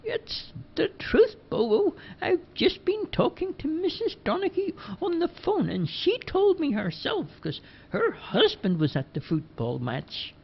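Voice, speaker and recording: A man voicing a nosy, fussy older woman from a village, with a slow, dramatic way of gossiping. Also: very high voice, huge pitch swings, creaky, pitched above the performer's own voice, exaggerated voice. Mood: insistent. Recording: dull lo-fi recording.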